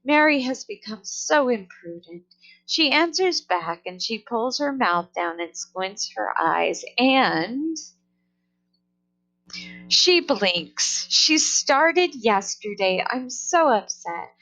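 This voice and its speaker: Woman playing a fretful mother with lively pitch. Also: takes it slowly, exaggerated voice, low-quality recording. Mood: upset. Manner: fretful, exclamatory. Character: worried, fussy mother upset about her rude daughter.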